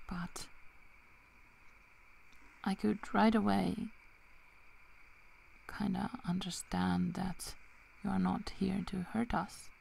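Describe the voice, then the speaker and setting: A young werewolf girl acted by a woman, loyal and protective, in an ASMR roleplay. She speaks softly and slowly, slipping between whispers and heavy vocal fry, in a dark, mellow tone. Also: mid-range voice, flat delivery, slight background noise. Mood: soft, reassuring. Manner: soft, halting, breaking into whispers.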